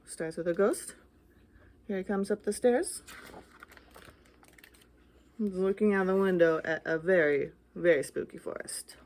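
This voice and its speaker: A woman reading picture books aloud as a storytime host, with dramatic, expressive narration that draws out key phrases. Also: lowish voice, measured pace, pauses often, slight background noise. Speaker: library storytime reader.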